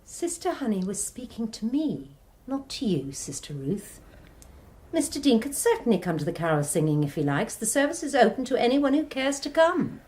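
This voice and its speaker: Radio play: stern measured nun, slightly high and lively voice. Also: female voice. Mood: firm. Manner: measured, authoritative. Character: stern composed nun in charge. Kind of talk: radio drama acting.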